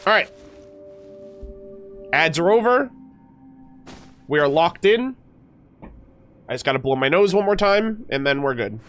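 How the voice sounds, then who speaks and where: A man's voice: fairly high pitch, very animated, unhurried, pauses often, a bit bright, faint music behind. A man streaming a game, chatting casually with viewers, slightly nasal and stuffed up, with many fillers and restarts.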